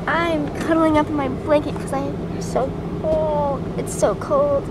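A teenage girl talks slowly and playfully to a camera held at arm's length in the back of a car. Her voice sits high and bubbly. Loud, steady road rumble runs under everything she says. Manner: giggly, chatty and playful. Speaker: vlogger. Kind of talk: casual selfie vlog. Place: back seat of a moving car. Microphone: built-in camera held at arm's length.

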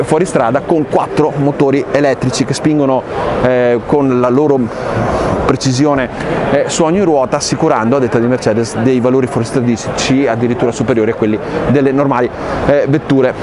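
A man's voice: mid-range voice, a little fast. A man in his thirties filming himself at arm's length while touring a large car show hall, chatting with lots of fillers and enthusiasm. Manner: energetic, filler-heavy, enthusiastic. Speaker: car reviewer. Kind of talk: walking tour commentary. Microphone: handheld camera at arm's length. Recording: noisy crowded hall.

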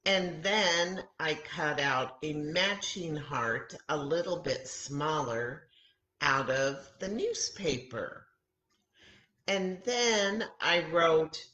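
An older woman, around her sixties, talks to young children as a Bible story time teacher. Her voice sits on the low side and swings expressively through simple phrases. She goes very slowly, leaving room between thoughts. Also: sing-song, a bit bright. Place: room at home beside a kitchen, indoors. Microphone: laptop or webcam mic at arm's length. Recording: roomy, echoey home webcam sound.

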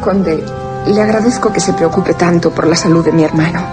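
An actress playing a devoted, anxious young lady in a radio horror drama, melodramatic and soft-hearted. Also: fairly low pitch, a little fast, natural voice. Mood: polite, flattered. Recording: prominent music under the voice.

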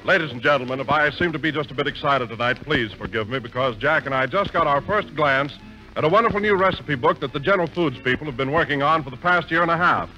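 A man announcing and selling a product in a 1940s radio comedy, hearty and smooth, recorded in a theater. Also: fast, muffled recording, over music. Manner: hearty, smooth salesman pitch. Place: theater stage with live audience.

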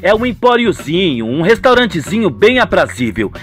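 A man's voice: sits high, big pitch swings, moderate pace, a bit bright, soft background music. A man playing an eager, easygoing young son in a radio soap, friendly and insistent. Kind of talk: radio soap opera acting.

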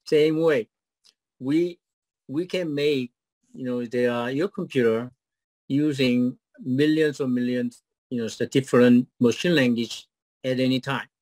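A middle-aged man with a Korean accent, a startup founder giving a rehearsed pitch and then answering questions haltingly with many fillers over a video call. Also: fairly even intonation, a little mellow, dry recording, rough recording. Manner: hesitant, many fillers and restarts when answering. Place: home office on video call. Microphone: video call webcam.

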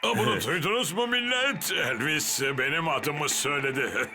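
A man's voice: on the high side, lively intonation, moderate pace, close to an everyday voice. A man playing a blustering, self-assured senior fire officer in a Turkish cartoon dub, pompous and full of exclamations. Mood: proud, delighted. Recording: loud music behind the voice.

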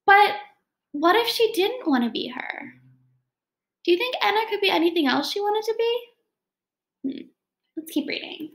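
A young woman reading a children's picture book aloud, pausing to address young listeners with questions and comments. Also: very high voice, lively intonation, gravelly, reverberant. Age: young adult. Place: small home room, indoors.

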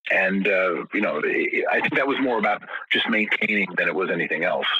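A man's voice: deep voice, expressive, a little fast, sharp tone, slightly rough, dry recording, lo-fi sound. A man guest in an interview, telling long stories with many restarts and repeated words. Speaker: guest musician. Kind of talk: casual interview storytelling.

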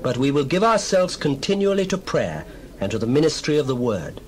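An actor playing an authoritative apostle exhorting disciples in a dramatized Bible reading, formal and reasoned. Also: male voice, mid-range voice, big pitch swings, brisk, lightly acted. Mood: earnest.

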